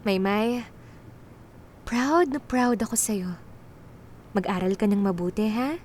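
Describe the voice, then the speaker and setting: A woman playing a kind, composed doctor who was once a star, speaking gently and conversationally in a radio drama. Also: mid-range voice, slow, a little hiss or hum, lightly acted. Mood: caring.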